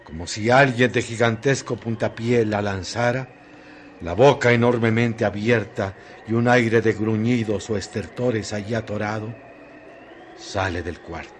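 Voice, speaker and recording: A man narrating a literary radio drama in solemn, measured phrases. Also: lowish voice, fairly even intonation, close to an everyday voice. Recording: noisy track with ambient effects.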